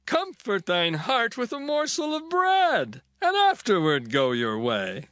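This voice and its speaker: Coaxing older father-in-law, bright and very slow, theatrical biblical drama, high register. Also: wildly swooping pitch, smooth, no echo, studio quality, full character voice. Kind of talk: dramatized scripture reading, archaic English.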